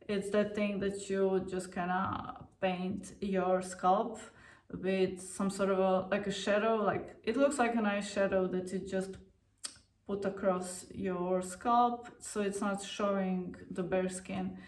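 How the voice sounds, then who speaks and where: A woman in her thirties from Croatia, telling her own story to camera in a candid, unhurried way with frequent fillers. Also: medium pitch, fairly even intonation. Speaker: vlogger. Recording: roomy sound, mic at a distance.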